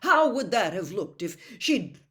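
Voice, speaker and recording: A woman's voice: medium pitch, big pitch swings, unhurried, pitched above the performer's own voice. A woman voicing a pompous, indignant young man in a storybook reading. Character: pompous, indignant young man, rule-loving older brother.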